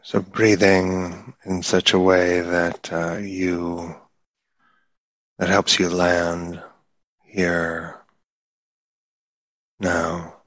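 A man guides a meditation in a low, fairly even voice. His delivery is extremely slow and halting, with long pauses between short phrases. The sound is dry and close. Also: no echo, low-quality recording. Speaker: meditation teacher.

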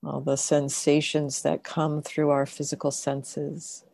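A woman with a low, mellow voice leads a guided meditation. She speaks very slowly and gently, leaving long pauses between short phrases. The sound is close and dry. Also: monotone, vocal fry, no echo. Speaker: meditation teacher.

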